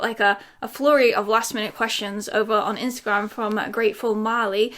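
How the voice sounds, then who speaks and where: A woman's voice: on the high side, fairly bright tone. A woman in her thirties from England, chatting casually to camera as she answers viewer questions, giggling often and rambling with lots of fillers. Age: 30s. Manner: breaks into bits of song.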